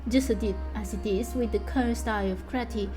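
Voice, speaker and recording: A woman's voice: fairly high pitch, steady tone, normal speed, music in the background, close to an everyday voice. A woman acting a grumbling fisher villager in a game, explaining things in a matter-of-fact way. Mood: insistent.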